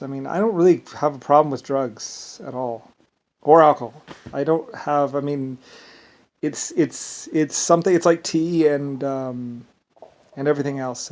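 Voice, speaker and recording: An American man with an Irish-sounding lilt, talking in a rambling, meandering casual monologue. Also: a little high. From United States. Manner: rambling, meandering, leans on "basically".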